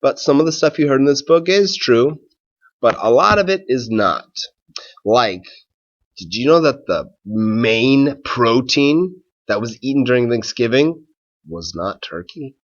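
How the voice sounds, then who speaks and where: A man who is a schoolteacher doing a read-aloud for children and talking to pupils in a measured, simple way. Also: medium pitch, animated, muffled recording.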